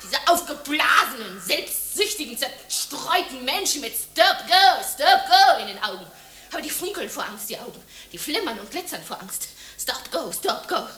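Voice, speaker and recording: A woman's voice: very animated, moderate pace, bright, forceful tone, exaggerated voice. An actress playing a lonely, jobless woman, bitter and despairing, in a theatrical radio drama monologue. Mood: wistful, wounded. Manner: theatrical, swinging from shrill ranting to breathy murmuring.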